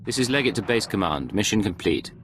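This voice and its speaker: An actor playing a composed imperial intelligence agent in a video game, formal and controlled in speech. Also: male voice, on the low side, lively intonation, a little fast, background noise, natural voice. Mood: composed, dutiful.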